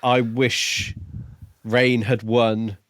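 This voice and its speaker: A man's voice: medium pitch, level tone, extremely slow, fairly bright tone, dry recording, studio quality. A man co-hosting a podcast in casual discussion, with a wry, informal way of talking. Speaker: podcast co-host reviewing books.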